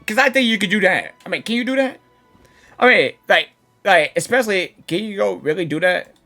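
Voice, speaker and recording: A woman's voice: mid-range voice, animated, brisk, a bit bright, soft background music. A woman streamer giving casual reaction commentary to a game, musing and wondering aloud as she goes.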